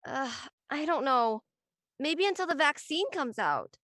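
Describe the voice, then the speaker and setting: Affectionate but cautious teen girl played by a woman, very high, bright voice. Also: lively intonation, a little slow, dry recording, clean studio sound. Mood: affectionate, wistful. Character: cautious, affectionate college-bound teenage girl. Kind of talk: casual scripted drama dialogue.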